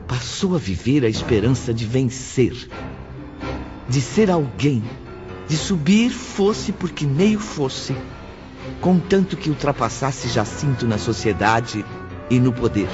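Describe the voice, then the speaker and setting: A man narrating a scripted, dramatic audiobook in a solemn, deliberate way. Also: medium pitch, expressive. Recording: dull lo-fi audio under loud music. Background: music.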